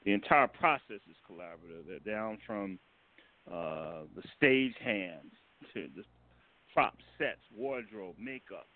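A man giving casual, halting interview answers as a guest director, explaining things with chuckles along the way. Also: on the low side, animated. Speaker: guest, stage and film director. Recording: narrow phone-line sound.

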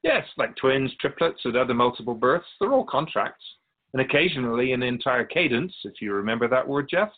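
Man voicing a serene spirit guide with animated intonation. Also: mid-range voice, phone-quality sound. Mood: serene, instructive. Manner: measured, formal, instructive. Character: Keeper of Souls, serene wise otherworldly spirit guide. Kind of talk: radio drama dialogue.

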